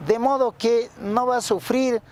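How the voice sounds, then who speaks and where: A man with a Peruvian Spanish accent explaining carefully and didactically in an interview as a translator, with pauses. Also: extremely high pitch, steady tone, a little mellow.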